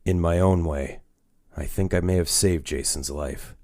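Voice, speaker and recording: An actor playing a brooding, guarded older masked crime-fighter in a comic dub, with a grave, measured delivery. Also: male voice, deep voice, fairly even intonation, darkish tone, dry recording, studio quality. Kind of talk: comic dub dramatic acting.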